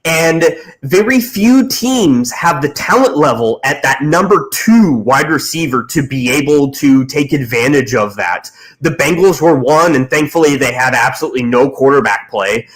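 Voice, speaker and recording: A man's voice: fairly high pitch, animated, normal speed, rough recording. A man hosting a casual post-game sports talk show, giving firm opinions and often restarting his sentences. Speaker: podcast host.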